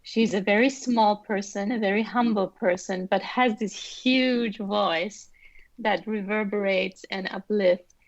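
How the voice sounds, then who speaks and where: Soft-spoken middle-aged woman from Austria. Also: medium pitch, unhurried, dry recording. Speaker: guest. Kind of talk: interview answers. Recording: dull lo-fi sound, dry.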